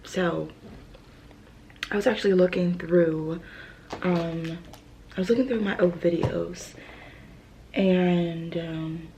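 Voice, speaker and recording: A young woman vlogging in a soft, hesitant voice, grieving and close to tears, often dropping to a whisper between fillers. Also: on the low side, fairly even intonation, background noise. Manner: fillers and trailing pauses. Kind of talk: emotional personal vlog.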